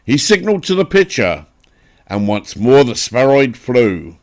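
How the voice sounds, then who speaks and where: A man with an English accent giving a dramatic, theatrical recitation of a narrative poem, with deliberate, emphatic phrasing. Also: mid-range voice, sing-song. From England. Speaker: poetry reader.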